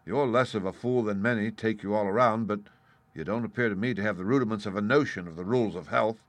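A man voicing a brisk, educated gentleman doctor with a breezy, dryly mocking manner in an audiobook. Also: lowish voice, no echo, lightly acted. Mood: scolding. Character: brisk, cheerful, scolding gentleman ship's doctor.

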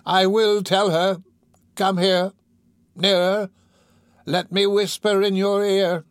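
A man's voice: high-pitched, flat delivery, slow, smooth, no room sound, clean studio sound, pitched above the performer's own voice. A man voicing a dying old pauper woman in a storybook, urgent and hollow, drawing out the words. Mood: desperate, urgent.

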